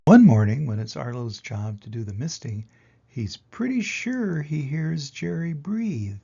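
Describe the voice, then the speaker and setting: An older man reading a children's picture book aloud in an expressive way, with dramatic pauses and emphasis. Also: a little high, gravelly, husky, dull audio. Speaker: reader of children's picture books. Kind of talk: animated storybook read-aloud.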